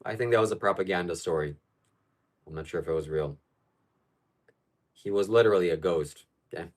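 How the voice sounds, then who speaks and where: A man's voice: low voice, brisk. Blunt, opinionated man from Ukraine. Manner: blunt, opinionated, answers chat questions aloud. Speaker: live stream host. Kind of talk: casual live chat reading viewer questions.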